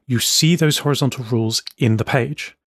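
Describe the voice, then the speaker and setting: A man's voice: mid-range voice, moderate pace. A man co-hosting a podcast, enthusiastically walking through his note-taking setup in casual tech chat. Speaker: podcast co-host.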